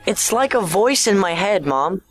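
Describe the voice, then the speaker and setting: Performer playing a troubled, frightened schoolboy, animated pitch. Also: normal speed, soft background music, lightly acted. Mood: scared, confessing. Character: scared, troubled schoolboy tormented by an inner voice. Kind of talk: audio drama acting.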